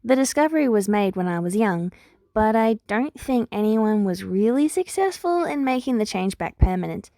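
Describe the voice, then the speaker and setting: A woman's voice: medium pitch, dark tone, dry recording, close to an everyday voice. A woman voicing an earnest, wounded young heroine from the future in a story, speaking firmly and a little wryly. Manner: prompt, firm, wry.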